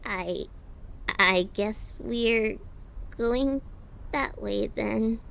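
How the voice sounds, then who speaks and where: A woman's voice: fairly high pitch, very slow, a bit bright, heavily acted. A woman voicing a timid, anxious female monster in an audio drama, stammering and hesitant. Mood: nervous, hesitant. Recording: clearly noisy amateur recording.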